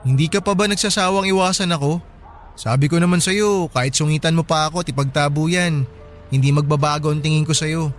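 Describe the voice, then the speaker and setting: An actor as a gentle, pleading young Filipino man. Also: fairly high pitch, a little fast, soft background music, lightly acted. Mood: hurt, pleading. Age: young adult. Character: earnest, wounded young half-brother, a baggage porter. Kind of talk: dramatized radio love story.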